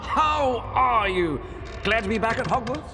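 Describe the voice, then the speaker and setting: Fussy old english ghost, game voice, high and genteel, big reverb, music behind. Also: male voice, lively intonation, a little slow, vocal fry, pushed and bright, slight background noise, exaggerated voice. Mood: genteel, chiding. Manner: genteel, old-fashioned, wordy. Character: courtly, fussy old male castle ghost. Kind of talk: video game voice acting.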